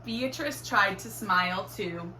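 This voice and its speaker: A woman reading a children's storybook aloud in an expressive, deliberate teacherly way. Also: medium pitch. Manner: animated, deliberate storytelling with acted exclamations. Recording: roomy echo, faint background noise.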